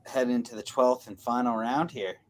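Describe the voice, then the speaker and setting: A man co-hosting a casual watch-along, calling the fight's action in a relaxed way and chuckling as he goes. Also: medium pitch, moderate pace. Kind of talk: casual play-by-play sports chat.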